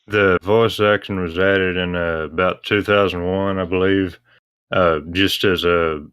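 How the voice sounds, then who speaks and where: A man explaining things casually as a guest, in a hesitant, measured way. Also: low voice, fairly even intonation, fairly bright tone, smooth, dry recording, studio quality.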